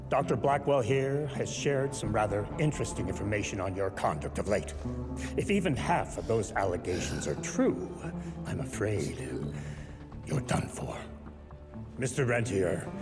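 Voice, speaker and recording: A powerful government official with a smooth, measured manner. His intonation is lively, and loud music plays behind him. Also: lowish voice. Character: genial powerful old-style government official. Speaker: high official.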